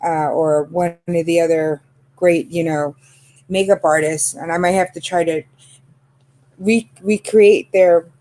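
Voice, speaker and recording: A woman's voice: fairly low pitch, steady tone, measured pace, dark tone. A woman of fifty hosting a casual chat about makeup, talking with many fillers and laughs. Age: 50s. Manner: chatty, lots of fillers and laughs. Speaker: channel host.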